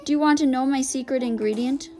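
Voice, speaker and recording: Woman reading as coolly menacing female camp warden, higher-pitched. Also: music in the background, lightly acted. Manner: soft-spoken, deliberate, threatening.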